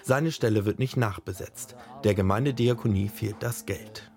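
A man reading a scripted documentary voice-over in an even, measured way. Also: on the low side. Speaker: documentary narrator. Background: faint music.

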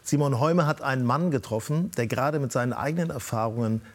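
A man's voice: mid-range voice, fast talker. A man hosting a talk show, interviewing a guest informally and introducing a filmed report.

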